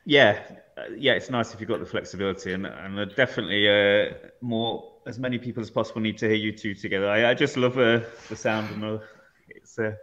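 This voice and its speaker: A man's voice: fairly low pitch, a little fast, a bit bright. A middle-aged man hosting a casual online music show, speaking hesitantly with many fillers. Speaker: host. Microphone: headset. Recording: dull lo-fi video call audio.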